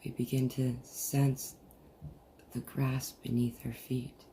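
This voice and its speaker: A person leading a guided meditation in a soothing, deliberate manner with long pauses, recorded over a video call. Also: mid-range voice, level tone, warm tone. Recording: noisy, muffled group video-call audio.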